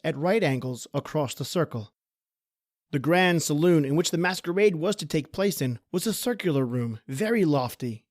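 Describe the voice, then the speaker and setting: A male narrator reads a gothic horror tale. His voice is a little higher than average, with measured, formal delivery. The recording is dry, with no room sound. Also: darkish tone, dry recording.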